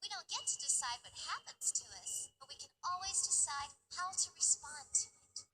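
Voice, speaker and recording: A woman voicing a bright, patient fourteen-year-old little sister in a visual novel, explaining things in a gently philosophical way. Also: high-pitched, lively intonation, moderate pace, slight background noise, heavily acted. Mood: patient, thoughtful. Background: game music.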